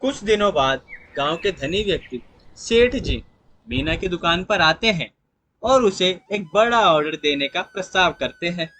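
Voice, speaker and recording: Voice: medium pitch, expressive, a little slow, a bit bright, a little hiss or hum. A narrator reading a scripted moral story in a storytelling style.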